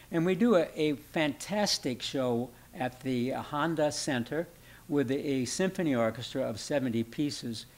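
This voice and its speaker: An older man speaks as the group's founder in a documentary interview. His voice sits at an ordinary pitch, and he sounds proud and upbeat.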